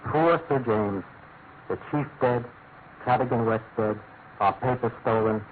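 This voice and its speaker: An actor playing a troubled, deferential British senior clerk, formal and measured, in a classic radio drama. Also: male voice, mid-range voice, lightly acted. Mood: dismayed, mournful. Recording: noisy, narrow-band old radio recording.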